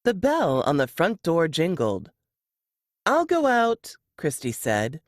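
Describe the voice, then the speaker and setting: A woman narrates scripted fiction like an audiobook. Her voice is low and extremely varied in pitch, and she reads at a slow, measured pace in a dry, close recording. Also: wildly swooping pitch, dry recording.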